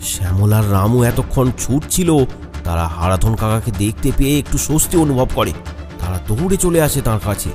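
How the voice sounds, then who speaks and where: Low-pitched man narrating horror. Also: animated, brisk. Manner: suspenseful, dramatic storytelling. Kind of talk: scripted horror story narration. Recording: loud music behind.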